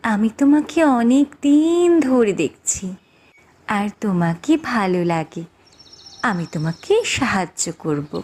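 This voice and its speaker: A woman voicing a kindly, knowing fairy in a cartoon, speaking in a measured, reassuring way. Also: medium pitch, expressive, darkish tone. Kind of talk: fairy-tale cartoon dialogue.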